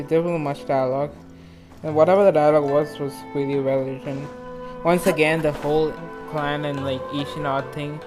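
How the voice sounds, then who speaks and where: A man giving casual, excitable and rambling reaction commentary on a video game in an informal way. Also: on the high side. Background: game music.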